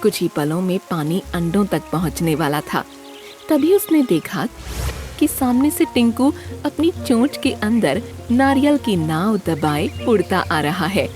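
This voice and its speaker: A woman narrating a scripted children's story in an expressive storytelling manner. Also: mid-range voice, measured pace, faint music behind.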